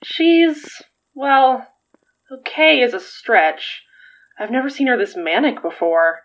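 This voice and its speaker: A young woman in an audio drama, a bemused and easygoing friend. She talks casually and wryly in a slightly high, animated voice. The sound is a bit muffled. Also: takes it slowly, full character voice. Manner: casual, wry. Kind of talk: naturalistic audio drama acting.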